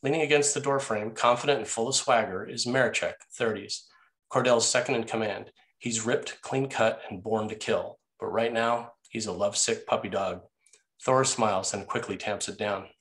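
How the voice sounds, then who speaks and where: Low-ish male screenplay narrator. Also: fairly even intonation, normal speed, a bit bright, poor audio. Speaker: narrator reading stage directions.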